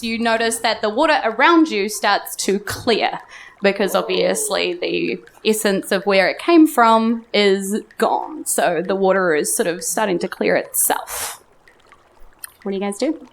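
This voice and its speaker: A woman's voice: mid-range voice, a bit bright, a little hiss or hum. A woman with a New Zealand accent, playful and giggly, narrating as game master in a live tabletop game. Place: live on stage at a festival club.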